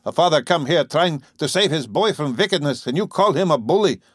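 A man reading an audiobook, voicing a gruff, bullying old farmer who whines and mangles his consonants in a rough rural dialect. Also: on the high side, animated, a little fast, no room sound, studio quality, voice pushed up. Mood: furious.

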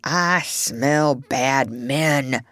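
A woman voicing a gruff male dog who growls and mumbles his words slowly. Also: rumbling low, a bit bright, no room sound, clean studio sound, pitched below the performer's own voice, full character voice. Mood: growling.

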